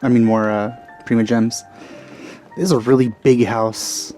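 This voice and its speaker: A man's voice: mid-range voice, little pitch movement, unhurried, dark tone, faint music behind. A man giving casual let's play commentary as the host of a gaming video.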